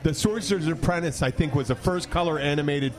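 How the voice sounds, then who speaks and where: A man's voice: on the high side, quick pace. An American man hosting a podcast in casual, joking banter, rambling and argumentative as he teases his company. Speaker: podcast host, bartender. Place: restaurant bar.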